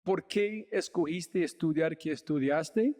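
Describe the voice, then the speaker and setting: A man hosting a podcast, asking his guest curious, upbeat questions in a relaxed interview. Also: a little high, takes it slowly, long reverb tail.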